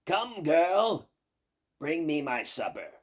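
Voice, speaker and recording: Man acting out a menacing raspy old witch, slow-spoken. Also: fairly high pitch, very animated, pitched above the performer's own voice, full character voice. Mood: bossy, threatening. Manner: slow, commanding, theatrical threats. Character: menacing, bossy old witch, greedy and threatening.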